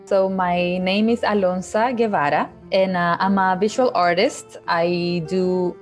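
A woman's voice: lowish voice, fairly even intonation, unhurried, faint music behind. A woman in her thirties from Chile, a painter answering interview questions in a casual, hesitant way with many fillers and restarts. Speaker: painter guest.

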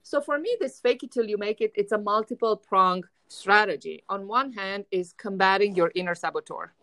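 A woman podcast host with a Russian-sounding accent, talking in an energetic, emphatic way and often repeating words as she starts a thought. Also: medium pitch, moderate pace, dry recording. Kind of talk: casual conversation.

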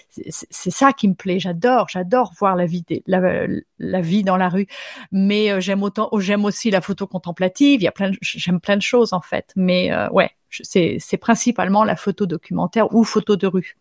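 A woman's voice: mid-range voice, no room sound, clean studio sound. A woman from France, a photographer guest, chatting casually in an interview with frequent restarts and easy laughter. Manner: lively, hesitant restarts, laughs easily.

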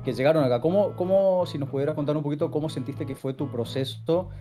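Mellow-voiced man hosting over guitar music. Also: fairly high pitch, quick pace. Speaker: host. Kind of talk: casual podcast interviewing.

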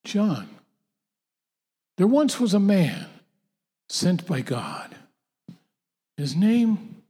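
Male preacher, slow and emphatic, slightly high voice, long hall reverb. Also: big pitch swings, dark tone, slightly rough. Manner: emphatic, repetitive, with halting restarts. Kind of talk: sermon to a conference audience.

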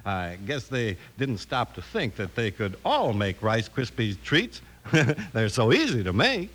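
A hearty, excitable announcer from old-time radio. He has a bright, forceful American voice with big, lively swings and emphatic phrasing. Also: medium pitch, a little slow, exaggerated voice. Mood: excited, jolly. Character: cheerful, excitable radio announcer and cowboy pal.

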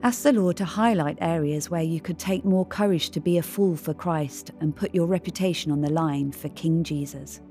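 Low, mellow woman reading a devotional. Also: moderate pace, few pauses, soft background music. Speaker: devotional reader. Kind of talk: scripted devotional narration.

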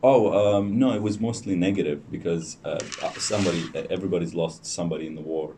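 A young man, a podcast guest talking casually and hesitantly with many pauses and restarts. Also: low-pitched, dark tone. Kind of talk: casual interview chat. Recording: distant mic with faint noise.

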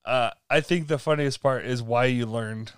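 A man co-hosts a casual podcast, upbeat and quick, with lots of fillers. His voice is smooth and clear, recorded dry and close. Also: mid-range voice, dry recording. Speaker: podcast co-host.

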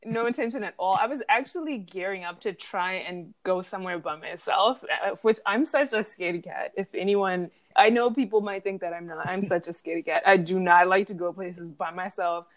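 A woman's voice: mid-range voice, a little fast, hardly any pauses. Chatty young woman hosting a podcast. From Zimbabwe. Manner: chatty, laughs often, acts out quoted people. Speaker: podcast host. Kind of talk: casual podcast chat.

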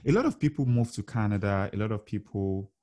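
A man's voice: on the low side, a little fast, mellow. A man hosting a podcast, reading a scripted guest introduction and asking conversational questions. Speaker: podcast host. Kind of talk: podcast interview.

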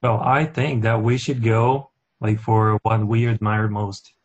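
A man from Brazil speaks English with a Brazilian accent, his talk loose and full of fillers like "you know what I'm saying." His voice sits somewhat low. The recording is dull and lo-fi. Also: little pitch movement, measured pace. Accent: Brazilian Portuguese. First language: Portuguese. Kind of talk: casual discussion.

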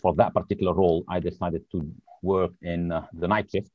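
Deep-voiced Lebanese-born man, dull remote audio. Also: steady tone, a little fast, dry recording. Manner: searches for words, hesitant. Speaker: podcast guest. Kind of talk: casual interview chat.

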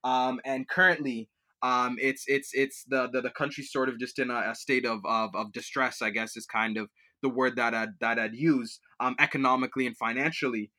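A man's voice: medium pitch, fairly even intonation, moderate pace, sharp tone. A young man from Liberia, raised in Kenya and Canada, answering interview questions in a rambling way, with many fillers and restarts. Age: young adult. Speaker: student guest.

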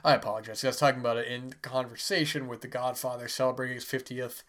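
A man's voice: medium pitch, little pitch movement, fast talker, fairly bright tone. A man who is sick and stuffy, hosting a podcast and casually reviewing a book in a rambling way with many fillers. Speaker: podcast host.

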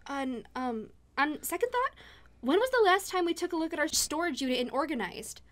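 A woman's voice: high voice, expressive, normal speed, fairly bright tone. An actress playing a sweet, airheaded young woman who rambles ditzily in a comedic audio drama. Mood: dreamy, ditzy. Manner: ditzy, rambling.

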